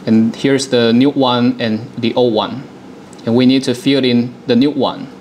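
A man in his thirties presenting a software demonstration, explaining things step by step in a dialogue. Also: mid-range voice, steady tone, a little slow, a little hiss or hum. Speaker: product presenter.

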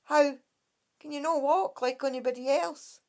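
A woman's voice: high voice, moderate pace, a little mellow, pitched above the performer's own voice. A woman playing a blunt young Scottish girl. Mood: curious. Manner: blunt, curious. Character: bored girl nearly a teenager, blunt.

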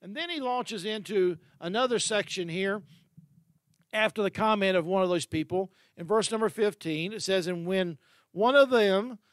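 A man's voice: high voice, moderate pace. An older man preaching a sermon as pastor, earnest, with fillers and repeated words, holding a handheld mic in a large unfinished hall.

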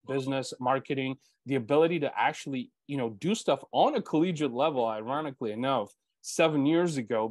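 A man's voice: medium pitch, dry recording. A man in his forties with a Bulgarian mother tongue, hosting an interview and telling his story earnestly, full of "you know" fillers, over earbuds on a video call. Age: 40s. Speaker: podcast host.

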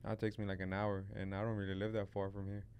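A young man hosting a podcast in a casual, laid-back chat, talking into a studio mic on a boom arm. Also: monotone, fast talker, a little mellow, slight background noise. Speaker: podcast host. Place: podcast studio with neon sign. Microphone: studio mic on boom arm, headphones.

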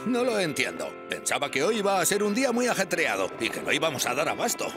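A man's voice: on the high side, wildly swooping pitch, fast talker, over music. A man voicing a pompous, blustering older fire station chief in a cartoon, with a European Spanish accent. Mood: puzzled, grumbling.

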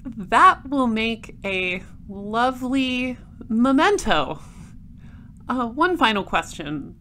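A woman playing a polite, persistent space patrol detective in an audio drama, questioning in a formal, measured way. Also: a little high, very animated, sharp tone, a little hiss or hum, heavily acted. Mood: composed, businesslike. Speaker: lead detective and narrator.

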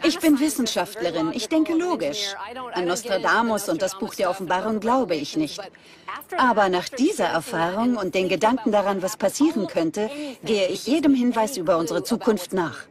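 A woman's voice: medium pitch, animated, moderate pace, crowd noise, lightly acted. A woman voicing a logical, sceptical scientist in a documentary dub. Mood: sober, concerned. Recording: music under the voice.

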